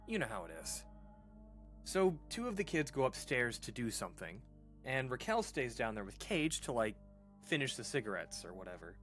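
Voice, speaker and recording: An actor playing a rattled storyteller in a horror audio drama, recalling a frightening childhood memory. The voice is mid-pitched and conversational, halting and stammering, with dramatic pauses. Music plays underneath. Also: a bit bright, natural voice.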